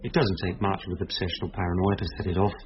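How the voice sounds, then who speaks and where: An actor playing a calm, reassuring police detective who explains things gently in a radio drama. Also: male voice, deep voice, fast, a crowd in the background, close to an everyday voice. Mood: matter-of-fact. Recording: slightly dull radio recording.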